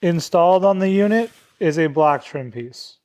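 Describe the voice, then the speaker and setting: A man's voice: fairly high pitch, animated, moderate pace, a little mellow, a little hiss or hum. A man narrating a step-by-step product installation tutorial as a demonstrator.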